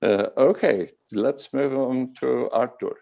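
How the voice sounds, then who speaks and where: A man's voice: medium pitch, takes it slowly, creaky, highs cut off. A man chairing an online meeting, calling on speakers in a brief, polite way.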